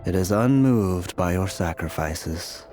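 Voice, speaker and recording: An actor playing a cryptic, fatalistic male seer in a fantasy video game, with a measured, formal and unsettling manner. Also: low-pitched, lively intonation, darkish tone, faint music behind. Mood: cold, fatalistic. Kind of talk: dramatic video game acting.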